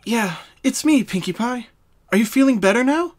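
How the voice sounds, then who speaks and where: Woman voicing a high-pitched, caring pony friend. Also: animated, normal speed. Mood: reassuring. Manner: gentle, questioning, reassuring. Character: caring, concerned young female pony friend.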